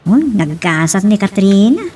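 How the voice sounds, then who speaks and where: A woman acting a lively young female friend who teases a farmer, expressively, in an Ilocano audio drama. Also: medium pitch, measured pace. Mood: teasing. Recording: distant mic with background noise.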